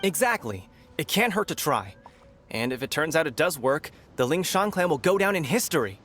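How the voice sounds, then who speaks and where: An actor playing an eager, frank young martial arts student in a video game, speaking in an earnest, emphatic way. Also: male voice, on the high side, sing-song, moderate pace, a bit bright, faint music behind. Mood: hopeful.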